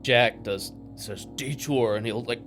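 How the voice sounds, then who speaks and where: A man's voice: mid-range voice, measured pace, music in the background. A man playing a tabletop roleplaying game, talking in a blunt, informal way. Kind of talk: casual tabletop roleplay chat.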